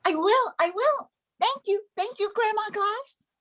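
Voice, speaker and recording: A woman's voice: very high-pitched, expressive, unhurried, a bit bright, slight background noise, heavily acted. A woman playing an eager, sweet little schoolgirl in an amateur radio play, with childlike delivery. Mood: excited.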